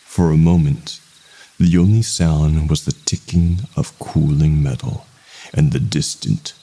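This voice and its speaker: A man's voice: extremely low pitch, unhurried, warm tone, breathy edge. A man reading a scripted first-person horror story as its narrator.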